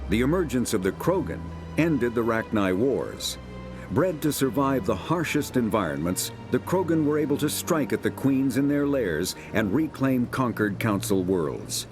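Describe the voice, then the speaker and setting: A man playing an authoritative encyclopedia narrator in a video game, reading scripted lore formally over music. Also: medium pitch. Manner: formal, measured phrasing. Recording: music behind the voice.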